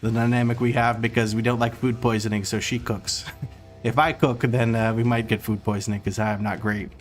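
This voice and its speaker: A man with an American accent chats loosely with his viewers while he plays, reading their messages aloud, stumbling over his words and starting sentences over. His voice sits at an ordinary middle pitch, with faint music playing behind him. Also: brisk. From Croatia. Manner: relaxed, rambling, reads chat aloud, stumbles and restarts. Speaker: streamer. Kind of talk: casual chat with viewers while gaming.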